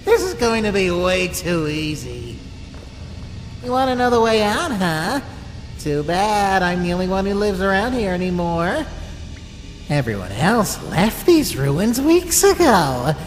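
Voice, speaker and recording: A woman voicing a spiteful, manic little talking flower villain in an animated comic dub, childish, mocking and theatrical. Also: mid-range voice, unhurried. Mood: menacing taunting. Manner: mocking, sneering, theatrical, prone to shrieking. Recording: long reverb, music behind the voice.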